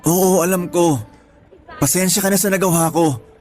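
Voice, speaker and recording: Actor as a pleading, higher-voiced young man. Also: normal speed, a little mellow, close to an everyday voice. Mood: remorseful, pleading. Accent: Filipino. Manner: earnest, apologetic, pleading. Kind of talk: Tagalog radio drama acting.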